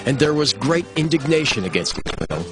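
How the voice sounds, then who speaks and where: A man's voice: mid-range voice, big pitch swings, a little fast. A male narrator tells a Bible story in a solemn storytelling manner. His mid-range voice moves expressively through the scripted text, with faint music underneath.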